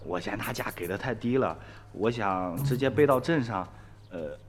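A man's voice: fairly low pitch, lively intonation, normal speed, in a large hall, natural voice. An actor playing an evasive, nervous young village man in a radio drama, stammering and hesitant. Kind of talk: Chinese radio drama acting.

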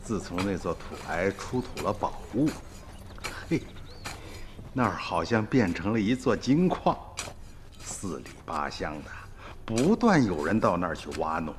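A deep-voiced old village storyteller. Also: male voice, big pitch swings, measured pace, pauses often, noisy background. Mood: recalling. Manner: storytelling, plain. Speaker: narrator.

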